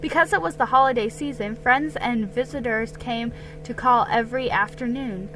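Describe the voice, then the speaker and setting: Female storybook narrator, high-pitched, bright and forceful, slow dramatic reading over music. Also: low-quality recording. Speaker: story reader.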